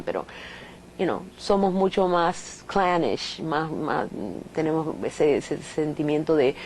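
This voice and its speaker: A woman's voice: low-pitched, a little mellow, slight background noise. A middle-aged Cuban woman professor answering interview questions thoughtfully, with restarts and rhetorical questions, in a Cuban accent. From Cuba.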